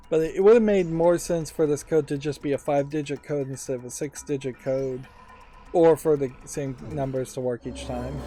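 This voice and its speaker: A man's voice: medium pitch, warm tone. A man hosting a let's play, giving casual game commentary in a musing, analytical way.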